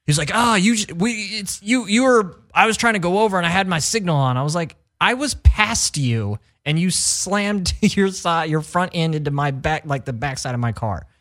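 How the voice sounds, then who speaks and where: A man's voice: high-pitched, animated, normal speed, hardly any pauses, a bit bright. A man hosting a podcast, telling stories in casual chat with a light, youthful-sounding voice and frequent laughs. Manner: jokey, laughs often, storytelling. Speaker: podcast host.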